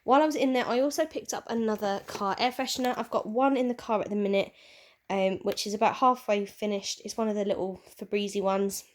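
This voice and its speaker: A woman's voice: medium pitch, fairly even intonation, fairly quick. A young woman with a stuffy, bunged-up voice, chatting casually and rambling through a shopping haul. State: bunged up with a cold. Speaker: craft vlogger and teacher. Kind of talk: casual haul vlog chat.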